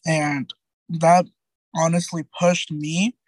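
A man's voice: flat delivery, slow pace, breathy edge, no room sound. A young man around twenty, a guest in a casual interview, talking in a chatty way full of "like" and "um" with many restarts. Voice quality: light high-pitched voice.

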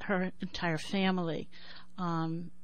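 A woman in her sixties with a New York accent who talks thoughtfully with many pauses and then reads her poem in a measured rhythm. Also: on the low side, little pitch movement, muffled recording. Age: 60s. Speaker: poet and writer. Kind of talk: interview and poetry reading.

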